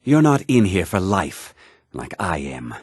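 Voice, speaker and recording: Weary convicted killer in a fantasy game: low, husky, brooding. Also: male voice, very animated, unhurried, no echo, studio quality, heavily acted. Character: weary, brooding imprisoned murderer in a mine. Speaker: video game voice actor. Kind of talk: fantasy role-playing game dialogue.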